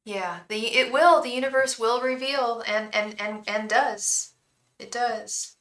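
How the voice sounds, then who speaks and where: A young woman gives a live tarot reading in a chatty, reassuring tone. Her voice is bright and clear, with a calming quality. She often restarts her sentences, and the room around her has a noticeable echo. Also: fairly high pitch, slow pace. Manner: addresses viewers fondly as "love". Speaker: tarot reader and psychic.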